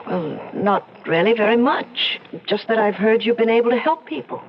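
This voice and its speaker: Anxious, animated young woman in radio mystery. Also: a little high, moderate pace. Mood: nervous, miserable. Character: anxious, determined young woman investigating her sister's death. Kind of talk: old-time radio mystery drama acting. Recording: dull, noisy old radio drama recording.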